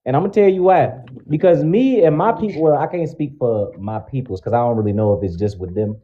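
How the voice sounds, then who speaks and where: Guy in his 20s, talks real fast, swears a lot, muffled lo-fi sound. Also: medium pitch. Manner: loud, profane storytelling, rambling with restarts. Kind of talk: casual group chat.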